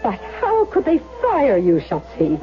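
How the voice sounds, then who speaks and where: Casting: shrewd companion for radio play, animated melody, dull vintage recording with faint music. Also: female voice, fairly high pitch, measured pace. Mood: concerned, knowing. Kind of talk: 1970s radio drama acting.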